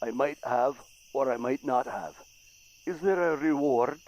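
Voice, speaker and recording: An actor playing a grasping, pious, jealous husband in an audio play, gruff and accusatory. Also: male voice, mid-range voice, measured pace, darkish tone, lightly acted, low-quality recording. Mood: sly, grudging. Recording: home recording, faint insect-like hiss.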